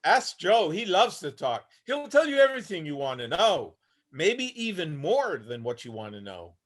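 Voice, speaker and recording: A man's voice: on the high side, sing-song, normal speed, fairly bright tone. A man acting a haunted, homeless older Vietnam veteran, weary and bitter, telling his story plainly in a staged play reading. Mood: resigned, friendly. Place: online video call reading.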